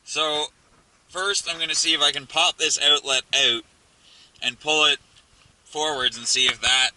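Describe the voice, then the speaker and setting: A man's voice: on the high side, steady tone, unhurried, bright, forceful tone, no room sound, poor audio. A man giving a casual hands-on tutorial from inside a car, talking offhandedly as he works, with some grumbling and swearing. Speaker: amateur how-to video maker. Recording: clear vehicle noise and clicks.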